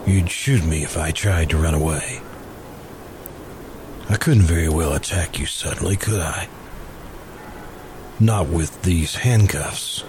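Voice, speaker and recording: A man playing a polite, grieving confessed murderer in a hushed, pleading manner, in the style of old-time radio suspense. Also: low-pitched, takes it slowly, pauses often, noisy background, voice pushed down. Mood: quiet pleading.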